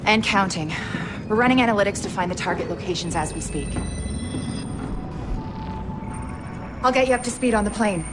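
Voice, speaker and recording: An actress playing a stern, no-nonsense intelligence operations chief, briefing crisply in a game cutscene. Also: female voice, medium pitch, fast talker, over loud music, lightly acted. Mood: businesslike. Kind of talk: dramatic game cutscene acting.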